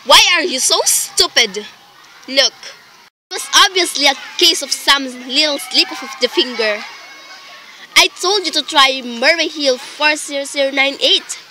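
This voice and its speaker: A woman's voice: high voice, bright, forceful tone, heavily acted. A woman playing a nervous, demanding invalid wife in a melodramatic radio play, overwrought and imperious. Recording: faintly noisy amateur recording.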